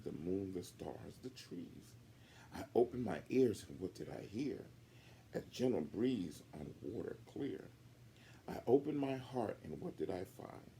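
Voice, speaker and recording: A man's voice: sits low, creaky, dark tone, slight background noise, exaggerated voice. A man voicing a blunt but good-hearted young beaver schoolboy in a storybook.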